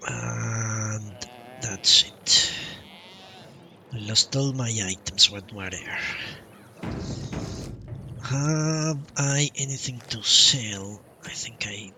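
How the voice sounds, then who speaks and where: A man's voice: mid-range voice, very slow, creaky, pauses often, bright, forceful tone. A man giving live commentary on a game playthrough, muttering and thinking aloud into a close mic. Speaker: game streamer. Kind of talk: live playthrough commentary with read-out dialogue choices.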